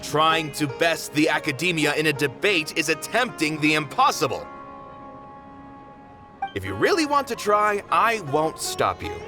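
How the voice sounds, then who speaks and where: Slow, resigned pessimist. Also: male voice, fairly high pitch, big pitch swings. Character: resigned, pessimistic man of a theater troupe. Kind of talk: English game dub acting. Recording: music behind the voice.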